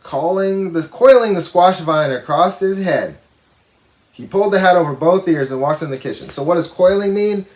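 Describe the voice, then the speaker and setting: A man's voice: high voice, normal speed, darkish tone. A man reading a children's storybook aloud expressively, with simple explanatory asides for young listeners. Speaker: storybook reader.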